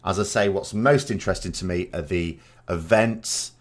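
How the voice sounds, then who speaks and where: A man's voice: sits low, moderate pace. A man hosting a vodcast, giving unscripted opinion commentary in a discursive way, reasoning aloud with rhetorical questions and asides. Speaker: vodcast host, gaming lore commentator.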